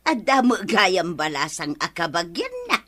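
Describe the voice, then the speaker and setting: An actress with an Ilocano accent playing a sharp-tongued, nagging middle-aged village woman in a radio comedy drama. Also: fairly low pitch, sing-song, normal speed, full character voice. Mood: prying.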